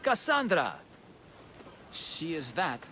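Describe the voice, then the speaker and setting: A shrewd male ship trader in a video game, with a Greek accent and a slightly high voice. He talks like a friendly, teasing haggler. Faint outdoor ambience runs behind him. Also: wildly swooping pitch, takes it slowly, bright, forceful tone, slight background noise, exaggerated voice. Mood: haggling. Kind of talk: video game cutscene acting.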